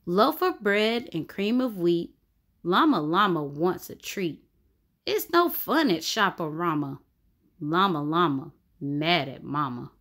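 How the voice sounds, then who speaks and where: A woman reading a rhyming picture book aloud for children in an expressive, sing-song way. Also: fairly low pitch, slow pace. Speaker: children's story reader. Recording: music bed under the voice. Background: background music.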